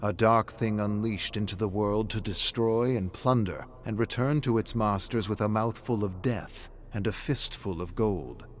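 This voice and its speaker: A man's voice: fairly low pitch, measured pace, few pauses. A man reading scripted, dramatic story narration in the manner of an audiobook.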